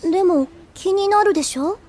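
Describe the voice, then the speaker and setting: Very high-pitched, earnest schoolgirl. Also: normal speed, darkish tone. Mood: earnest, worried. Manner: earnest, easily flustered. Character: earnest, flustered teenage schoolgirl. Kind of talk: Japanese anime audio drama acting.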